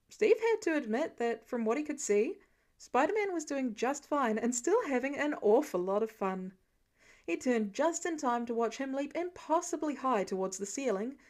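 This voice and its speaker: A woman narrates a piece of fan fiction. Her voice sits high and moves around a lot in pitch, giving the storytelling an expressive, animated feel. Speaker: podfic reader.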